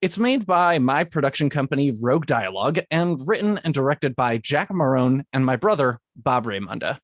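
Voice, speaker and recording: A man's voice: mid-range voice, expressive, normal speed, no echo. A man, a guest composer, reading scripted lines for a podcast and stopping to redo them. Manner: reads scripted lines, then breaks off to restart them. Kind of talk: recording a podcast segment with retakes.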